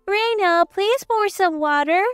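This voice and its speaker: A woman's voice: very high-pitched, measured pace, no room sound, clean studio sound, faint music behind, pitched above the performer's own voice. A woman voicing a cold, commanding mother-in-law of sixty-four who talks down to others. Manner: commanding, contemptuous.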